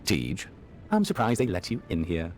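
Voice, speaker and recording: An actor playing a courteous but defensive town official in a video game. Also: male voice, low-pitched, wildly swooping pitch, normal speed, a little hiss or hum. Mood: guarded.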